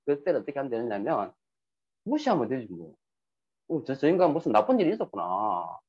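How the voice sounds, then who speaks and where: Lively husky man lecturing. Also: mid-range voice, a little fast. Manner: lively, rhetorical questions, acts out quoted dialogue. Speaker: speaker giving a talk on sales and emotions. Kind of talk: lecture to an audience. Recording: dull lo-fi recording.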